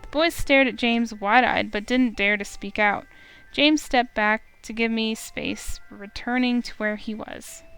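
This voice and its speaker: A woman's voice: a little high, fairly bright tone, smooth. A woman streamer reading a visual novel aloud, narrating expressively in first person.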